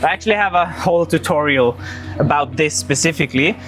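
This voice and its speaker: A man coaching a handstand session, calm and encouraging, explaining each step plainly. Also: a little high, fairly quick, soft background music.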